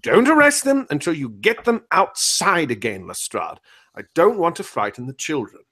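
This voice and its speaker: A man playing a fussy, officious detective who gives halting orders in an improvised radio comedy. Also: a little high, very animated, bright, forceful tone, full character voice. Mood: officious, hushed urgency. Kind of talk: improvised old-time radio parody.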